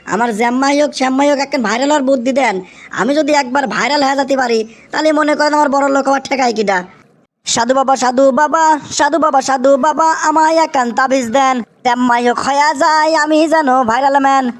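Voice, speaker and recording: Man acting high-pitched, whiny young cartoon schemer. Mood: insistent, then pleading. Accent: Bangladeshi. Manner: whiny, pleading, repeats himself emphatically. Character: young bachelor desperate for viral fame, excitable schemer. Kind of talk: exaggerated comic cartoon acting.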